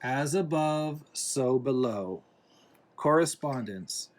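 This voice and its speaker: A man reading a children's picture book aloud in a deliberate way, pausing between phrases. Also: mid-range voice, lively intonation. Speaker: reader, parent reading to a young child.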